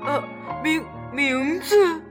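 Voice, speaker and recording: A woman voicing a gruff male tyrannosaurus who turns into a tender father in a children's audio story. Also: sits high, takes it slowly. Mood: bewildered. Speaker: voice actor. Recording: reverberant with music behind.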